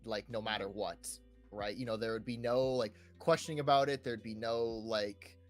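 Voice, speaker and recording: A man's voice: fairly low pitch, steady tone, moderate pace, soft background music. A young adult man co-hosting a casual gaming podcast, talking hesitantly, repeating words and often checking for agreement. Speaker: podcast co-host. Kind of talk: casual chat about video games.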